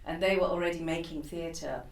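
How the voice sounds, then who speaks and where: 50s woman giving a keynote, low-ish voice, ums and restarts everywhere, far off mic in a roomy hall. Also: steady tone, normal speed, vocal fry. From East Africa. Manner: chatty, many fillers and restarts. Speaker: keynote speaker. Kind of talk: unscripted personal talk. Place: conference room. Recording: roomy, from a distant mic, faint noise.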